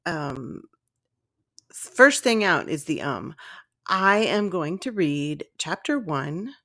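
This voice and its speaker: A woman with a gravelly, fry-edged voice chats off the cuff as an author hosting her own podcast. She rambles and puts herself down in an informal way. The sound is close and dry. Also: low voice, a bit bright, dry recording. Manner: self-deprecating, informal, digressive. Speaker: author and podcast host reading own story. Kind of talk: casual rambling chat, then read-aloud storytelling. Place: at home in a lived-in room.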